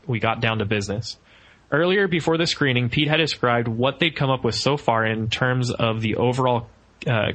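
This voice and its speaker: A man with a bright, crisp-edged voice hosts a podcast. His pitch sits in a middle male range, and he moves between conversational explanation and reading aloud. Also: low-quality recording. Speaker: podcast host.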